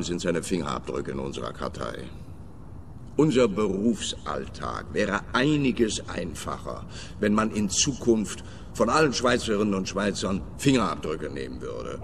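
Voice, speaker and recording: A sneering deep-voiced policeman. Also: vocal fry, background noise. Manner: sneering, sarcastic. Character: sardonic male police officer, middle-aged, mocking. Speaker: police officer.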